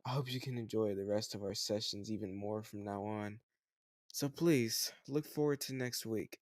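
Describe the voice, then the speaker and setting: Male actor as slightly older home tutor for romantic audio roleplay: lowish creaky voice, close dry sound, teasing, patient, encouraging. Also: normal speed, darkish tone, dry recording, lightly acted. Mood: teasing, affectionate. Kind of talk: scripted romantic audio roleplay, addressed to the listener.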